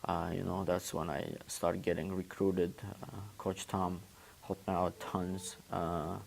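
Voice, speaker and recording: A young man from Uzbekistan whose first language is Turkish, answering interview questions in a halting way and often repeating words as he searches for phrasing. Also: low-pitched, flat delivery, vocal fry, a little mellow, slight background noise. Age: 20s. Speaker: guest, former student athlete.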